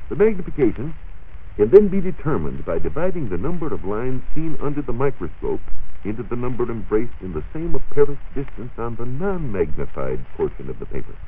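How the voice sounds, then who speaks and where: An American man giving precise, measured explanations as a science reporter on a 1940s radio show. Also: mid-range voice, very animated, slightly rough. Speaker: science reporter answering listener questions. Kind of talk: 1940s radio science talk, partly scripted. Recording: muffled, narrow-band 1940s radio recording.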